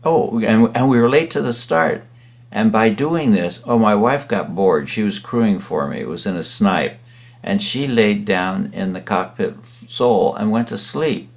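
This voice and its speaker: A man's voice: fairly low pitch, unhurried, gravelly. An older man, a painter and sailor, casually reminiscing as he narrates a slideshow in a rambling way. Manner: rambling, with fillers and restarts.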